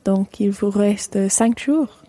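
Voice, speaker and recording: A woman's voice: mid-range voice, slow, mellow. A woman playing a kind, chatty French wife and mother in an educational radio play. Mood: friendly, curious.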